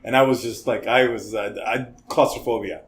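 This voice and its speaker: A man's voice: medium pitch, steady tone, normal speed, a little hiss or hum. Chatty man telling stories. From Hong Kong. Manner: chatty storyteller, tags sentences with "right?". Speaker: podcast guest, criminal defense lawyer. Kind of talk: casual interview chat.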